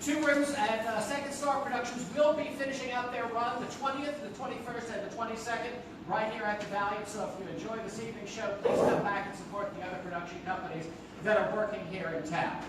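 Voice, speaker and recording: A woman with a high-pitched voice welcomes an audience as the host of a stage show. She is picked up from a distance in a heavily reverberant theater. Clear background noise sits around her announcements. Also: fairly even intonation, fast talker. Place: small theater stage before a live audience. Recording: roomy echoing theater, distant mic, noisy.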